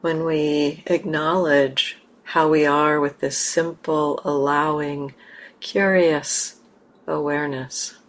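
A woman leading a guided meditation as a teacher, speaking gently and unhurriedly with long pauses. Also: low voice, steady tone, slight background noise.

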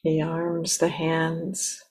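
A woman with a low voice guides a meditation. She speaks very slowly and gently, leaving long pauses between short phrases as she instructs the listener. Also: monotone, breathy edge. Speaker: meditation teacher.

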